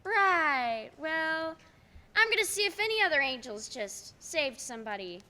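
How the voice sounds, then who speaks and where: A blunt, folksy toll booth keeper, acted by a young woman for a radio play. The voice is high and bright with lively swings, ringing in a hall. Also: unhurried, heavily acted. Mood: startled, wary. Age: teens to young adult. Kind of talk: stage radio play acting. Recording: echoey hall.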